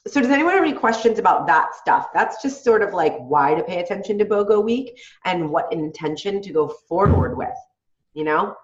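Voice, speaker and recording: A woman's voice: medium pitch, animated, moderate pace, echoey room, highs cut off. A woman with a head cold, sounding congested, coaching her team on a call in a chatty way and often asking "right?". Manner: chatty, asks "right?" often, laughs between points. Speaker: team leader on a group call.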